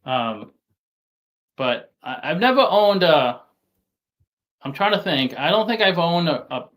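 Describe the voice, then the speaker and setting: A man from New York City with a softened New York accent, talking casually as an interview guest, halting and often repeating words as he restarts. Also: a little high, very animated. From Staten Island, New York City. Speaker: guest investor.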